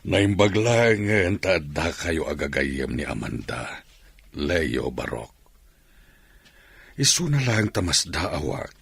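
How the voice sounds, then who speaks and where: An actor playing a weary, regretful father in a radio drama, with a gravelly, raspy voice. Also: extremely low pitch, big pitch swings, slow pace, pauses often, fairly bright tone, dry recording, heavily acted. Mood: measured.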